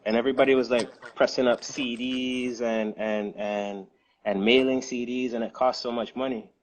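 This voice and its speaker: A man answering interview questions in a relaxed, hesitant way, with many fillers and restarts. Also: mid-range voice, moderate pace, low-quality recording. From United States. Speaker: guest, music distribution co-founder.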